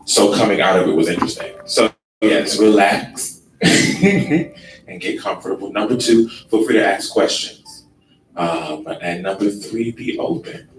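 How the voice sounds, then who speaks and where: A man giving a relaxed workshop presentation in a conference room, with a sleepy, laid-back, unhurried delivery full of ums. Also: fairly low pitch. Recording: echoey room from far off with noise.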